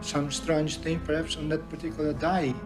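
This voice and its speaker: Flat-toned, halting male speaker. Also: a little high, music in the background. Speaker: documentary interviewee.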